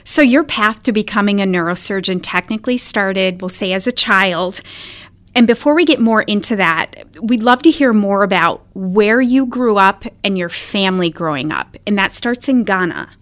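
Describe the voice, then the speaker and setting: A woman's voice: mid-range voice, animated, normal speed, a bit bright. A woman speaking as a podcast host, introducing and interviewing a guest in a conversational way.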